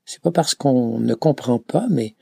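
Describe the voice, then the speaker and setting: Gravelly, hesitant man. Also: medium pitch, measured pace, darkish tone, dry recording, clean studio sound. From Canada. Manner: hesitant, many filler sounds and restarts. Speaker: pilgrim recounting a journey. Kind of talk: reflective travel account.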